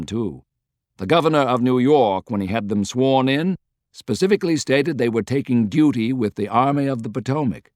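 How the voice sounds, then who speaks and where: A man's voice: medium pitch, a little mellow, dry recording, clean studio sound, lightly acted. A man voicing a loyal, good-humored adviser in an audiobook, briefing frankly and earnestly.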